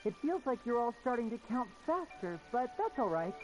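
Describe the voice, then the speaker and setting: A confident young stage magician, theatrical and playful with courteous showman polish. His light voice moves in lively, animated swings over strong game music. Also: very high voice, moderate pace, poor audio. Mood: assured. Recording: game audio with loud music behind.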